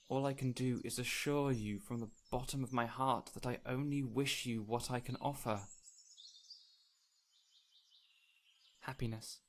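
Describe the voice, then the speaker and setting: A man's voice: medium pitch, natural voice. Whimsical fey spirit played by a man, birdsong behind. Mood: earnest, solemn. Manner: theatrical, formal, old-fashioned phrasing. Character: whimsical, gentle fey spirit man, courteous, joyful and wistful. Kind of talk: intimate audio roleplay, addressing the listener directly. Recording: clear ambient noise with birdsong. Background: birdsong and forest ambience.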